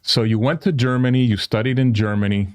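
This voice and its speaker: A man's voice: medium pitch, fairly even intonation, brisk. A male interview host recapping his guest's life, checking details with short questions.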